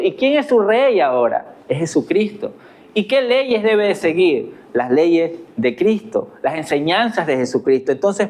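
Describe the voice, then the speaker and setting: A man with an Ecuadorian accent delivers an earnest sermon from the pulpit. His voice sits high for a man, and he leans on rhetorical questions to his listeners. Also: a little mellow. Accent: Ecuadorian Spanish. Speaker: pastor.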